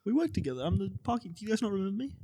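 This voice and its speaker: A man's voice: high voice, big pitch swings, racing, warm tone, slightly rough. Awkward hesitant Australian man. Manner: awkward, hesitant, lots of fillers. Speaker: podcast co-host. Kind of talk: improvised comedy role-play.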